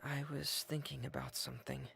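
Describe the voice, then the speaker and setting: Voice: medium pitch, little pitch movement, normal speed, vocal fry, over a noisy crowd. An actor playing a thoughtful, observant youth in a video game, speaking carefully and reflectively. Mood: pensive.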